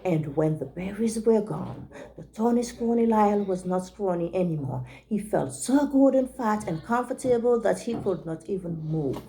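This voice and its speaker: A woman reading a picture book aloud to young children as a teacher, pausing to check in with her listeners. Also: mid-range voice, expressive, dark tone, poor audio.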